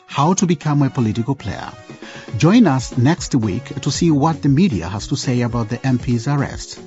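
A man's voice: medium pitch, warm tone. A man narrating a radio drama, on a dull lo-fi recording. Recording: dull lo-fi recording with faint music.

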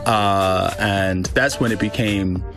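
Chill low-voiced guy from zimbabwe rambling thru his story, music going under it. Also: takes it slowly. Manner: relaxed, rambling, says "you know" often. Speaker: interview guest, hip hop artist. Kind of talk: casual storytelling interview.

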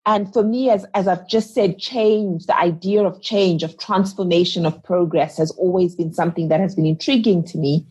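A woman from Zimbabwe in her thirties talks as an interview guest. Her husky, low voice moves earnestly and reflectively, often restarting a thought as she works it out. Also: steady tone, normal speed, few pauses, darkish tone.

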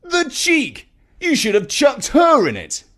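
Man playing a breezy, drawling, animated uncle. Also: very high voice, takes it slowly, pitched above the performer's own voice, exaggerated voice. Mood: outraged. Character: breezy, charmed, self-assured younger or middle-aged uncle.

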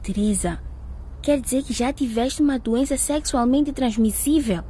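A woman's voice: high-pitched, normal speed, mellow, a little hiss or hum, lightly acted. A woman playing a naive, anxious teenage schoolgirl in a radio drama. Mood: shocked, worried.

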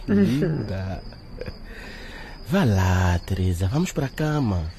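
A young actor playing a possessive, controlling boyfriend in a radio drama, wheedling and bossy. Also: male voice, on the low side, sing-song, slow, warm tone, heavily acted. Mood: coaxing. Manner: coaxing then domineering.

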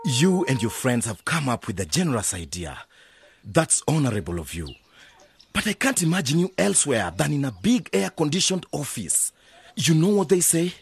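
An actor playing a wary, protective village uncle in a radio drama, with a husky voice and an emphatic manner. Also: male voice, on the high side, sing-song, normal speed, slight background noise. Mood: skeptical.

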